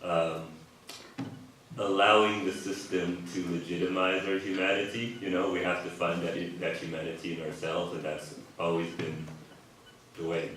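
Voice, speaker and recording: Man, very low voice, earnest reflective panel talk, roomy far-off sound. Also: level tone, normal speed, fairly bright tone, slight background noise. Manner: earnest, reflective, casual fillers. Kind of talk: panel discussion. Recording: echoey hall from far off.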